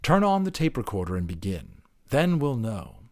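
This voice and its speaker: A man performs a great sage gorilla, a patient and probing teacher from an audiobook novel. He speaks deliberately and pointedly, with a pitch that swings widely as he presses his point. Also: very animated. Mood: firm, patient. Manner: measured, deliberate, pointedly Socratic. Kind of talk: dramatic audiobook character acting.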